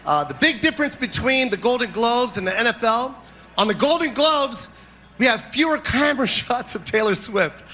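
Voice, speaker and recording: A man's voice: extremely high pitch, expressive, normal speed, sharp tone. A man working as a comedian and awards host, delivering a stand-up monologue on stage. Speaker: comedian hosting an awards show.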